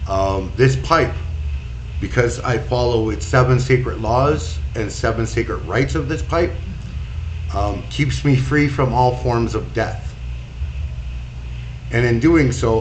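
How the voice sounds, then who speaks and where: A man in his forties hosts a casual livestream and reads the chat aloud. His voice sits low and moves slowly, with plenty of ums along the way. A faint hiss runs underneath. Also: rough recording. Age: 40s. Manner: slow, relaxed, reads chat aloud with many fillers. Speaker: streamer host.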